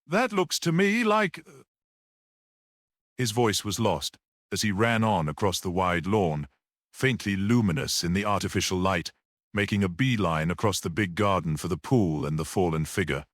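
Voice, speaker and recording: A man's voice: low voice, normal speed, no room sound, clean studio sound. A man narrating a mystery story as a scripted, dramatic audiobook reading.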